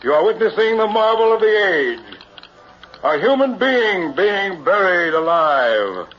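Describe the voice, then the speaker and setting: A man's voice: sits high, big pitch swings, a little slow. A man playing a showy carnival professor in 1960s radio horror, with formal, theatrical phrasing. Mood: grandiose pitchman. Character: showy carnival professor, huckster of a buried-alive act. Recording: vintage dull radio recording, music behind.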